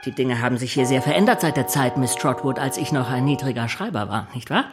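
An actor playing a falsely humble, scheming clerk in a German radio play, speaking with oily, obsequious politeness. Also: male voice, medium pitch, animated, fast, loud music behind the voice, lightly acted. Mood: oily, smug.

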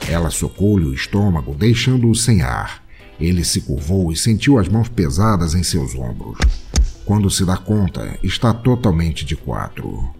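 A man's voice: low voice, very animated, unhurried, vocal fry, darkish tone, soft background music. A man delivering scripted narration as the storyteller of an audio drama.

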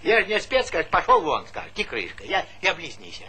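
An actor playing a talkative, teasing old village pensioner with folksy speech in a stage comedy. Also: male voice, fairly high pitch, huge pitch swings, a little fast, bright, forceful tone, slightly rough, heavily acted. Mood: opinionated. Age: older. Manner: garrulous, folksy, needling, chuckling interjections. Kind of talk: Soviet stage comedy acting. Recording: noisy 1970s theatre recording.

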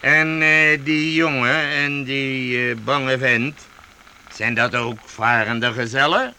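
An actor playing a boisterous, mocking one-eyed vagabond chief with a gravelly voice and pompous, theatrical delivery in a radio play. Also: male voice, mid-range voice, steady tone, slow pace, sharp tone, slight background noise, heavily acted. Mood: commanding. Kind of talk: Dutch radio play acting.

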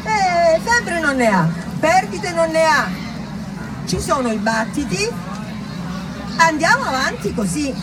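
A woman playing a hurried, pious male head physician in a stage monologue, brusque and evasive. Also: very high voice, very animated, a loud crowd, very noisy, pitched above the performer's own voice, full character voice. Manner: brusque, dismissive, hedging. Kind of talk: theatrical monologue dialogue.

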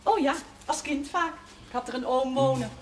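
High-voiced, teasing nun. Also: female voice, normal speed, creaky. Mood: chatty, reminiscing. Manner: teasing, gently admonishing. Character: kind, teasing Dutch nun nursing a priest. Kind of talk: naturalistic 1970s television drama acting. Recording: noisy old TV soundtrack with clicks.

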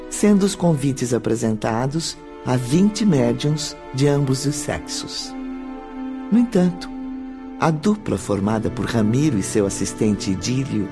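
A man with a Brazilian accent narrating a radio drama in a solemn, formal storytelling manner. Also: medium pitch. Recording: background music under the voice.

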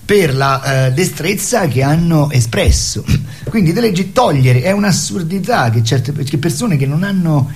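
A man arguing his opinions in an impassioned, polemical conversation, with halting, repetitive phrasing. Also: fairly high pitch, lively intonation.

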